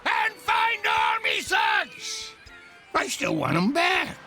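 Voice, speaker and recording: Gravelly, blustering ghost pirate captain. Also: male voice, high-pitched, huge pitch swings, takes it slowly, faint music behind, a faint crowd, exaggerated voice. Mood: commanding. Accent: stage pirate. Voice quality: gravelly, shouty. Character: blustering, self-pitying old male ghost pirate captain. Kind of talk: animated game cutscene acting.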